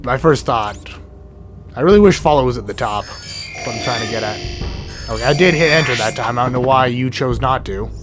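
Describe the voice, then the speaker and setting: Sick raspy guy rambling thru a game, trips over words, music loud behind. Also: mid-range voice, brisk. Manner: rambling, stuttering, self-correcting. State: hoarse from illness. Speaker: let's play gamer. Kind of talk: casual gameplay commentary.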